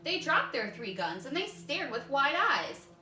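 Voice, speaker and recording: Female storybook reader, high and lively, heard in an echoey room. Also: unhurried. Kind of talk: expressive children's story read-aloud. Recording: echoey room, distant mic, background noise.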